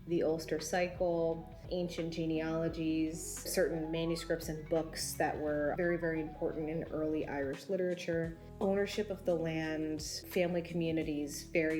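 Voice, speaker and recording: Chatty low-voiced woman. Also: a little mellow. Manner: enthusiastic, chatty, rambling. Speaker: book vlogger. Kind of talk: casual vlog chat.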